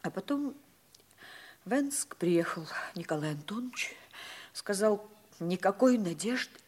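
A sincere schoolgirl with a medium-pitched voice, speaking with earnest, breathless emphasis. The delivery is the careful stage acting of an old Soviet radio drama. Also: animated, a little slow, slightly rough. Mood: wistful. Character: sincere, eager schoolgirl remembering her lost father. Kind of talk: mid-century Soviet radio play acting.

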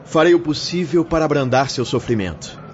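Guy playing kindly spirit medium, slightly high voice, soothing and earnest, radio play, muffled and noisy. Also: lively intonation, brisk, natural voice. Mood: compassionate. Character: gentle, compassionate male medium consoling a prisoner. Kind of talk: radio drama acting. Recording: muffled recording with background noise.

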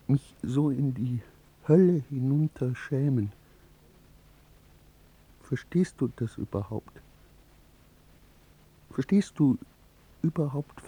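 An actor in a German radio drama playing a wounded, vain husband haunted by shame, halting and intense. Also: male voice, medium pitch, mellow, breathy edge, low-quality recording.

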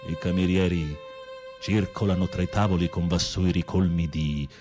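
A man playing a campy, low-voiced theatrical inmate. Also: fairly even intonation, normal speed, a little mellow, soft background music, natural voice. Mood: dreamy storytelling. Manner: florid, campy, teasing storytelling. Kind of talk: radio play acting.

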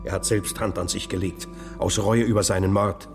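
A man's voice: low-pitched, fairly even intonation, moderate pace, vocal fry, big-room sound, soft background music. An actor playing a grieving, anxious townsman in a historical German radio drama, sombre and urgent.

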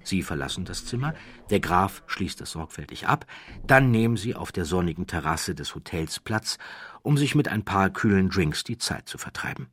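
A man narrating a scripted audiobook story in a measured way with dramatic emphasis. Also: deep voice, expressive, a bit bright, slightly rough, no room sound, studio quality.

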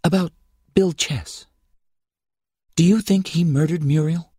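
A man's voice: fairly high pitch, slow, mellow, breathy edge, no echo, studio quality, voice pushed up. A man voicing a forthright, curious young small-town woman in an audiobook, in a confiding conversational way. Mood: confiding, insistent. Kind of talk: audiobook character voice.

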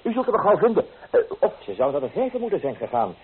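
An actor playing a young, amorous painter, coaxing and theatrical, in an old radio drama. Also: male voice, on the high side, big pitch swings, fast, breathy edge. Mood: breezy, over-eager. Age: young adult. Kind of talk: old radio play acting. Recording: tinny old radio recording, hissy.

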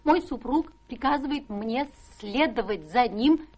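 An actress playing a timid, flustered, sentimental servant-companion in radio-drama style, husky and breathy, with a hesitant, tremulous manner. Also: female voice, fairly high pitch, animated, a little slow, darkish tone. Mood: solemn, tearful. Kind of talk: stage-trained radio drama acting.

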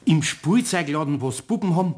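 An older man with a Bavarian accent playing a master carpenter in a radio play. He sounds gruff but good-natured and fatherly. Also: a little high, lively intonation, normal speed, darkish tone, natural voice.

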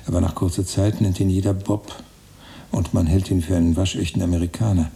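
An actor playing a wistful, romantic writer-narrator in a literary radio drama, musing deliberately in short phrases. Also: male voice, deep voice, creaky, warm tone. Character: wistful romantic male narrator-writer recalling his emigration voyage. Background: music.

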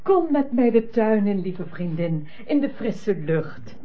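An actress playing a kindly, soothing countess in a radio play. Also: female voice, medium pitch, very animated, slow pace. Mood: coaxing, tender. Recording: thin, phone-like old radio recording.

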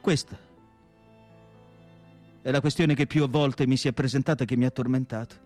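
A male actor playing a tormented asylum psychiatrist in a solemn, deliberate radio monologue with dramatic pauses. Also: medium pitch, fairly even intonation, a little mellow, soft background music, natural voice. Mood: troubled, questioning. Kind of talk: dramatic literary monologue, theatrical radio acting.

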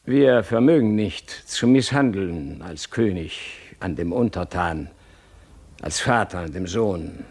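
A man's voice: low-pitched, animated, slow pace, darkish tone, breathy edge, full character voice. An actor playing a stern, pious, authoritarian king and father in a classical radio drama, with a solemn, declamatory and commanding manner. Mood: imperious.

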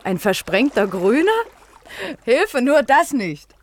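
A woman's voice: fairly high pitch, sing-song, slow pace. An actress playing a cheeky, outspoken lifeguard student in her early twenties in a radio play, teasing in short, breezy phrases. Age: early 20s. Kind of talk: naturalistic radio drama dialogue. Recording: outdoor scene with clear water noise. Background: lapping, trickling lake water.

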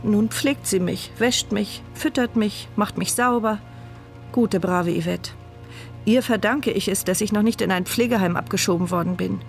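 Casting: actress for sardonic, reflective woman narrating a radio play in inner monologue, mid pitch, light music beneath. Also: moderate pace, gravelly, natural voice. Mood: grateful, reflective. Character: bitter, sardonic paralysed mute woman narrating her inner thoughts. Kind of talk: radio drama first-person inner monologue.

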